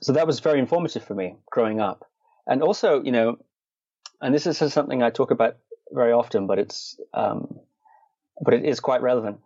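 A man from the Shetland Islands speaks as an interview guest in a mid-pitched voice. He is dryly funny and conversational, often hedging and qualifying as he goes. The sound is close and dry, though slightly dull and lo-fi. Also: brisk, mellow, dry recording. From Shetland Islands, Scotland. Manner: dry, wry humour. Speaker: interview guest, meditation and martial arts practitioner.

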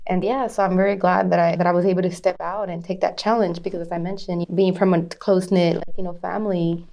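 A woman's voice: fairly low pitch, level tone, quick pace, gravelly, dark tone. A woman in her thirties from Arizona, telling her life story as an interview guest, chatty, laughing often and restarting phrases. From Arizona, USA.

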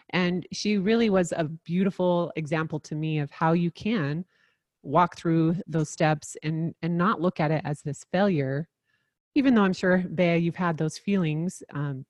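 A woman co-hosting a podcast, her voice low for a woman. She introduces her guest earnestly and drops in frequent "um"s. The sound is dry and close. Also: a little mellow, no room sound. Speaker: podcast co-host.

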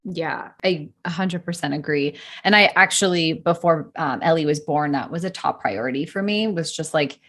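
Woman, thirties, casual chatty talker with frequent laughs, lower-middle pitch. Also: moderate pace, hardly any pauses. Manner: chatty, laughs often, lively emphasis. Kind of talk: casual conversation.

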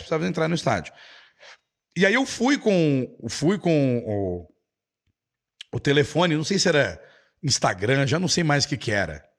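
A middle-aged Brazilian man with a Brazilian Portuguese accent, chatting casually as an English teacher who answers viewers' comments. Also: on the high side. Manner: chatty, with many restarts and quick asides.

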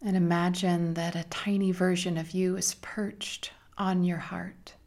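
A woman leading a guided meditation in a soft, gentle, soothing manner with long pauses and breathy phrase endings. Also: on the low side, flat delivery.

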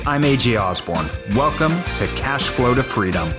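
A man's voice: medium pitch, animated, normal speed. A man reading a scripted podcast introduction as the show's host. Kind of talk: scripted show intro over music. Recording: loud guitar music underneath. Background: guitar music.

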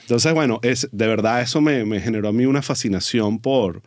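A man's voice: medium pitch, a little fast, a little mellow. A man with a Venezuelan accent, hosting a podcast and chatting casually with a guest. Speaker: podcast host. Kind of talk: casual conversational interview.

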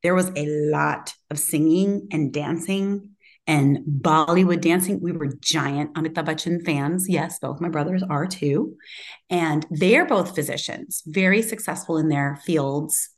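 A woman's voice: low voice, unhurried. A woman speaking as an interview guest in a reflective, conversational way, self-assured and emphatic with a strong voice. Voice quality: loud, strong voice.

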